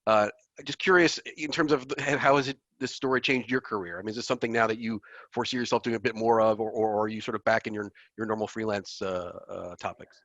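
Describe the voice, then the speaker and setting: A man moderates a panel over a video call and puts his questions quickly, sometimes repeating words. The room sound is dry and the audio is dull. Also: lowish voice, steady tone, fairly bright tone, dry recording.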